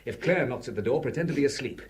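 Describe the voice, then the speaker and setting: A man's voice: medium pitch, expressive, quick pace, natural voice. An actor playing a determined young man who speaks firmly and urgently in an old radio drama. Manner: firm, urgent. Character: determined young man named Bob, brave. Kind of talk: 1960s radio drama acting.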